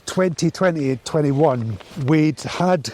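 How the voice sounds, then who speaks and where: A man's voice: on the high side, slow, a little mellow, slight background noise. Scottish man, thoughtful farm grower outdoors. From Scotland. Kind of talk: casual interview walking outdoors.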